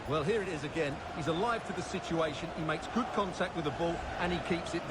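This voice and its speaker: A man's voice: a little high, quick pace. A man calling a ball game as live match commentary. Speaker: sports commentator. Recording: loud crowd behind commentary. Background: stadium crowd.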